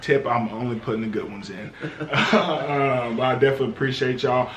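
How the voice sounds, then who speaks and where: A man's voice: medium pitch, moderate pace. An American man in his thirties hosting a casual motivational interview. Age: 30s. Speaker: interviewer, video series host. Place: indoors in a house. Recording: echoey room with background noise.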